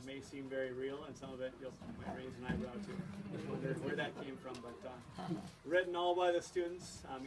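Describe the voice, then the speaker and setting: A man giving an informal introduction to a student show, speaking to an audience like a teacher. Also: mid-range voice. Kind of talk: informal introduction speech to parents. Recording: noisy room, distant microphone.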